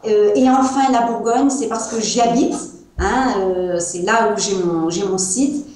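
Invitée au ton hésitant: une femme à l'accent provençal, dans un appel vidéo à l'écho très marqué. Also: female voice, medium pitch, a little slow, darkish tone. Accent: Provençal French. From France. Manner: hesitant, many fillers. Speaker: guest, wine seller. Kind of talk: interview over video call. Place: small room at home, indoors. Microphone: earbuds on a video call. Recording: echoey room sound over a video call.

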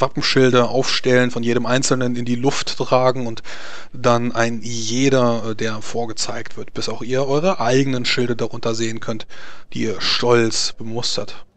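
Mid-pitched male game master narrating. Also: no echo. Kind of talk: scripted narration of a tabletop fantasy game.

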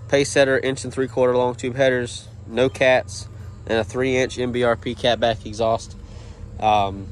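A young man talking casually and plainly in an unscripted, rambling way, as a car vlogger filming on a handheld phone. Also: mid-range voice. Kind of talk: casual unscripted vehicle walkaround. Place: outdoors in a driveway and at open car door. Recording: outdoor handheld recording, ambient noise.

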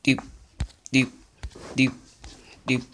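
A young man giving casual, rambling commentary over his own gameplay, chatty and easily excited. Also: medium pitch, very slow, slight background noise. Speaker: gaming youtuber.

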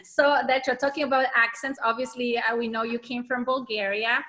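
A woman with a Polish accent hosts a video-call interview. Her voice sits a little high. She asks her questions politely and with appreciation. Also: level tone, fairly quick, pushed and bright. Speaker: interview host.

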